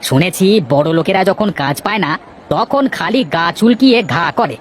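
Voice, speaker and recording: A man's voice: sits high, expressive, normal speed, slight background noise. A man voicing a cynical, gossiping villager in a cartoon, chatty and scoffing. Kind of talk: Bengali cartoon voice acting.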